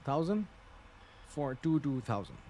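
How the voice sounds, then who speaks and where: A man's voice: on the high side, big pitch swings, measured pace, a little mellow, a little hiss or hum. A man giving live flight simulator commentary and pilot radio readbacks, hesitant and thinking aloud. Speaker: flight simulator livestream host.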